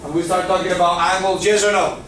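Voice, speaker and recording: A man's voice: high-pitched, fairly even intonation, fast talker, fairly bright tone, a little hiss or hum. A man with a Cuban accent teaching a physics class, often checking in with his students. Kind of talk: classroom lecture. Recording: echoey classroom from far off.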